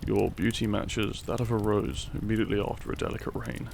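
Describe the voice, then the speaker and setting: A man playing a gentle, devoted Victorian doctor in an intimate romantic audio drama, earnest and soft-spoken. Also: on the low side, fairly even intonation, a little fast, vocal fry, slight background noise. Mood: tender, loving. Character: tender, devoted Victorian doctor, modest and smitten.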